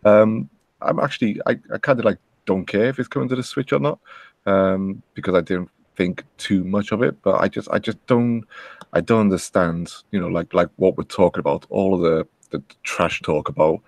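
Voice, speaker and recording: A man with a deep voice and plenty of vocal fry chats casually on a podcast. He speaks haltingly, repeating words, in a very dry recording. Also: steady tone, dry recording. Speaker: podcast panelist. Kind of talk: casual chat.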